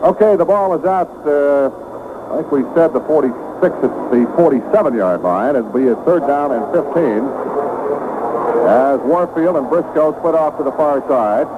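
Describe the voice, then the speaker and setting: A man calling live football play-by-play for radio, brisk and climbing in pitch when a big play breaks. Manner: brisk, rising excitement on big plays. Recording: tinny old radio broadcast with hiss.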